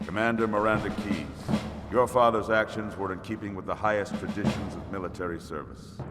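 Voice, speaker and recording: An actor playing a dignified senior navy admiral in a video game, speaking formally with solemn command. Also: male voice, lowish voice, steady tone, fairly quick, close to an everyday voice. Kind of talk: dramatic video game cutscene acting. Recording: long hall reverb, loud music.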